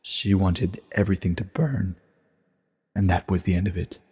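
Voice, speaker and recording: An ancient pony princess, acted by a man, low and very husky. She speaks with solemn, weary regret in a measured storybook confession, soaked in long reverb. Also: mellow. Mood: grave confession. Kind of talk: dramatic storybook reading.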